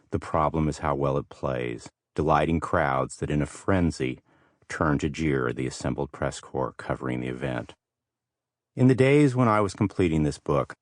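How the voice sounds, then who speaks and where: Very deep-voiced male audiobook narrator. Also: no room sound, studio quality. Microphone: close studio microphone.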